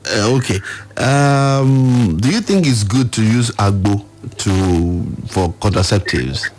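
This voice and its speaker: Radio phone-in host: male, Nigerian accent, low register. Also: lively intonation, takes it slowly, a little mellow. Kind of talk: live radio phone-in hosting. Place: radio studio.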